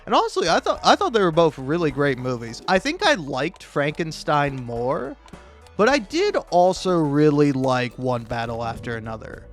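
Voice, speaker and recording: A man's voice: fairly high pitch, big pitch swings, moderate pace. An American man from Florida rambling casually as a gaming creator, hesitant and self-deprecating, often stammering and repeating words. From Florida, United States. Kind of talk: casual rambling chat over gameplay. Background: music.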